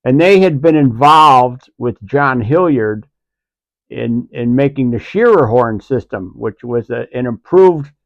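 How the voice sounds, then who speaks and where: Male co-host, mid-pitched, unscripted and halting explainer, close dry room sound. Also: no room sound. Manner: halting, pieces phrases together conversationally. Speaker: co-host, vintage audio enthusiast. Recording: dry, slightly dull recording.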